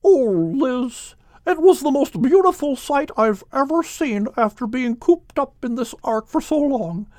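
A man voicing a gentle, tired male dove who speaks softly and with wonder. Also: extremely high pitch, expressive, measured pace, hardly any pauses, no echo, voice pushed up.

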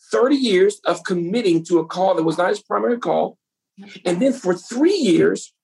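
Brief: woman pastor, gravelly husky voice, preaching, rhetorical questions to the room. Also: medium pitch, a little mellow, poor audio. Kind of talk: sermon to a women's group.

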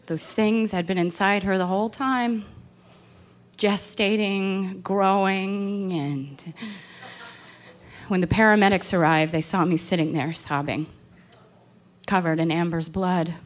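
A woman's voice: medium pitch, fairly even intonation, slow pace, natural voice. A woman acting a distraught, intense young woman in a horror radio play, pleading and emotionally raw. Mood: shaken, haunted. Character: distraught young woman convinced insects infest her girlfriend.

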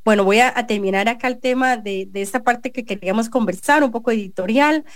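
A woman's voice: fairly high pitch, fairly quick, no room sound, studio quality. A woman with a Costa Rican accent hosting a business radio show, giving an earnest editorial and interviewing a guest. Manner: earnest, many restarts and fillers. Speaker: radio show host and journalist.

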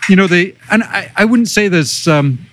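A middle-aged man with a Scottish accent, a biotech executive answering interview questions in a measured, detailed, conversational way. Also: sits high, animated, a bit bright, a little hiss or hum. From Scotland. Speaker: podcast guest, biotech executive.

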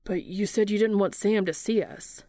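A woman's voice: mid-range voice, moderate pace, dark tone, no room sound, lightly acted. A woman reading the part of a stunned, yearning man in a fan fiction story, with a blank, hesitant manner.

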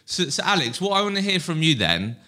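Brief: male podcast host, bright tense voice, circles around his questions. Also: a little high, very animated, fairly quick. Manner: rambling, long circling questions. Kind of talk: casual podcast interview.

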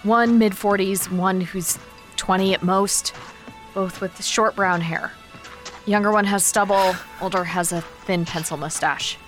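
A woman's voice: mid-range voice, steady tone, a little slow, a bit bright, soft background music, natural voice. A woman playing a level-headed, observant companion on a shady errand in a modern audio drama. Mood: alert, practical.

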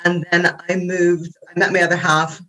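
A woman's voice: fairly low pitch, level tone, moderate pace, sharp tone, rough recording. A woman in her forties from Pennsylvania with an American accent mixed with English influences, chatting as a podcast guest and laughing often. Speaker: podcast guest, interior designer. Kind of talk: casual chat.

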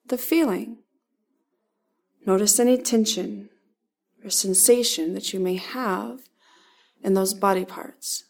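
A woman leading a guided body-scan meditation, giving calm, gentle instructions in short, spaced phrases. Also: on the high side, gravelly.

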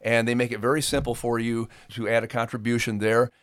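Middle-aged man, medium-pitched voice, folksy and earnest, talking conversationally to listeners, dry recording. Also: level tone, a little fast, no echo. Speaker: podcast host and ministry performer.

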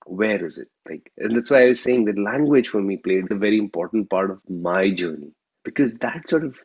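Man, Indian accent, native Telugu, thoughtful guest recounting his life, often asks "right?", somewhat breathy, muffled low-fidelity sound. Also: animated, a little fast, darkish tone. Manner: reflective, often ends phrases with a questioning "right?". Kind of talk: reflective personal storytelling.

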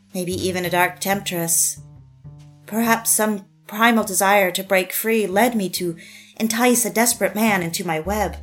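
An actress playing a reflective woman who looks back and narrates in the first person, measured and confiding. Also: medium pitch, lightly acted. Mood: wry, brooding. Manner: confiding, self-aware, measured. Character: reflective woman looking back on her secret affair. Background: music.

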